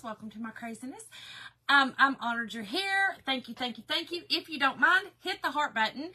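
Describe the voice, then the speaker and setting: Hoarse, bright woman chatting live. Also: high-pitched. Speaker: craft livestream host. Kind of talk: casual livestream chat greeting viewers. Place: home office.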